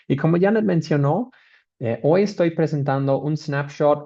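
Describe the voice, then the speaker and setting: A man's voice: mid-range voice, darkish tone, highs cut off. A man from Australia speaking Spanish with an Australian accent, giving a webinar presentation with frequent fillers and restarts. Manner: hesitant, with many fillers and restarts.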